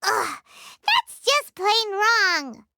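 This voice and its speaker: A woman voicing a small, curious, outspoken childlike fairy companion in a video game, with a squeaky voice. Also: sing-song, drawn-out words, pushed and bright, full character voice. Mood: disapproving. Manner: childlike, refers to herself in third person. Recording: music behind the voice.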